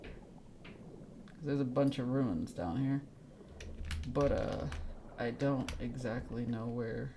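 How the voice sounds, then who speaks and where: A woman's voice: a bass voice, takes it slowly, pauses often, background noise. A woman streaming a video game, giving casual, playful live commentary in an informal way. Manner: informal, playful, reacting aloud.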